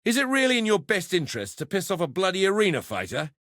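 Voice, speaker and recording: A man's voice: high voice, huge pitch swings, quick pace, fairly bright tone, no echo, exaggerated voice. A roguish pit fighter from a video game, swaggering and slangy. He talks like a theatrical, cheeky schemer with a tough edge. The recording is dry and close. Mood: bitter, defiant. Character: roguish adult male arena fighter and pitmaster turned syndicate member. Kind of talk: video game character voice lines.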